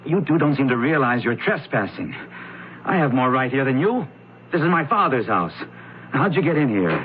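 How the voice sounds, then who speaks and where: A man's voice: mid-range voice, sing-song, normal speed, natural voice. A testy, evasive rich suspect played by a man in a radio mystery. His mid-range voice stays guarded and curt. The old broadcast sounds dull. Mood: defensive. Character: curt, evasive, irritable wealthy heir, male suspect. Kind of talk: radio mystery drama acting. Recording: muffled, faintly noisy old radio broadcast.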